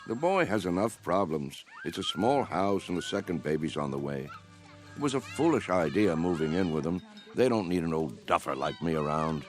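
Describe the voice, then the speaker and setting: An actor playing a gentle, good-natured old man with a soothing, folksy manner in a radio drama. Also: deep voice, big pitch swings, moderate pace, faint music behind. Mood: gently chiding. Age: older.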